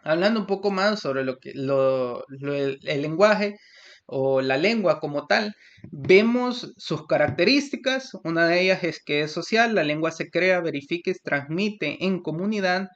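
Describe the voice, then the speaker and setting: A man's voice: on the high side, animated, a little slow, a bit bright. A young man from El Salvador with a Salvadoran Spanish accent, giving a class presentation and explaining from his notes with many fillers and restarts. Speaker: student presenter. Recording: lo-fi muffled recording.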